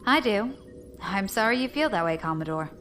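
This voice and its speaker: A woman's voice: mid-range voice, lively intonation, moderate pace, fairly bright tone, soft background music, lightly acted. A woman playing a defiant, commanding rebel fleet leader in an audio drama. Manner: commanding, composed.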